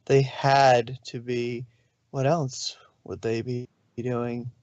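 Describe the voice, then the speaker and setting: A narrator reads fiction aloud at a middling pitch, now and then tripping on a word. The sound is dry and close, a little dull and lo-fi. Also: little pitch movement, slow, darkish tone, no room sound. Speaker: narrator, fan fiction reader. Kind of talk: storybook narration read aloud.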